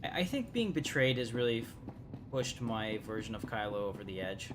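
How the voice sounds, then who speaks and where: A man's voice: fairly low pitch, big pitch swings, normal speed, noisy background. A man giving casual, informal gameplay commentary as a streamer, thinking aloud as he plays. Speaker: gaming streamer, channel host. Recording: loud music behind the voice.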